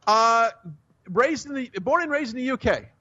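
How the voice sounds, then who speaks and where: A man's voice: extremely high pitch, animated, moderate pace, sharp tone. Chatty male radio host, lo-fi. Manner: chatty, leading questions with filler. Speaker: radio talk show host. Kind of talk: radio interview.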